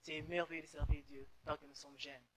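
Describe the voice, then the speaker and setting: Young man, slightly high voice. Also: little pitch movement, a little fast. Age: young adult. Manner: earnest, repeats words while searching. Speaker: guest.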